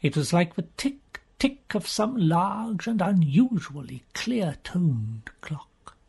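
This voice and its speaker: A man narrating a mystery story as an audiobook reader, in a theatrical, drawn-out way with hushed passages and a husky, breathy voice. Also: fairly high pitch.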